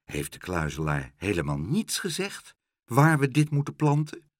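A man voicing an anxious, gentle male shopkeeper in a children's storybook, fretful and hesitant, full of questions. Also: mid-range voice, very animated, moderate pace, no echo, clean studio sound, natural voice.

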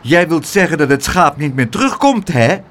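A man's voice: big pitch swings, moderate pace, a bit bright. Een man die een schelle, bazige, verontwaardigde tante voorleest in een kinderverhaal. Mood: shouting. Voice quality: high, scolding, shrill. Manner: indignant, demanding. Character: shrill bossy fussing aunt, middle-aged woman.

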